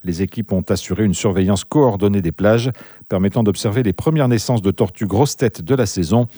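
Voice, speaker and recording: A man anchoring a radio newscast, reading the news in a steady, measured way. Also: fairly low pitch, husky, no echo, studio quality. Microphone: studio mic.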